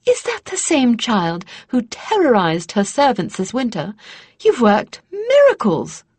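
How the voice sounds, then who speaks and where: Narrator lady doing an emphatic regal queen mum, voice pitched up, slightly husky, fantasy audiobook. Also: huge pitch swings, a little slow, darkish tone, no echo, full character voice. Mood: amazed. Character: grateful, expressive queen and mother.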